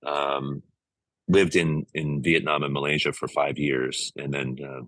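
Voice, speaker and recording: Very deep, husky American man. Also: little pitch movement, measured pace, fairly bright tone, no room sound. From United States, San Francisco Bay Area. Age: middle-aged. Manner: hesitant, searching for words, earnest. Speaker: interview guest, musician and music compiler. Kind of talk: reflective podcast interview answers.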